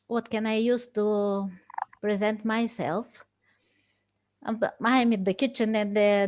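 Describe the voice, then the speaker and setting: A woman introducing herself from her kitchen on a video call, speaking slowly with many pauses. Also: medium pitch, a little mellow. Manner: slow, many fillers. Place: home kitchen.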